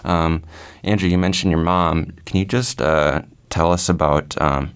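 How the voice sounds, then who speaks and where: Man hosting a casual podcast, very deep and monotone, relaxed, lots of filler. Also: unhurried, smooth. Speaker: podcast host.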